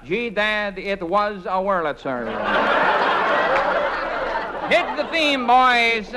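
A man playing a flustered, folksy bandleader hosting a champagne-music show in an old comedy parody, with halting patter. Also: sits high, animated, full character voice. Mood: panicked. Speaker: bandleader and host in a parody sketch. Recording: noisy vintage recording with loud crowd laughter.

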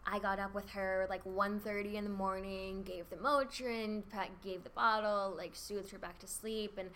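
A woman who works as a nanny, telling an emotional story softly to a group, with restarts. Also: mid-range voice, fairly even intonation, normal speed, a little hiss or hum. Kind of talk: casual group sharing.

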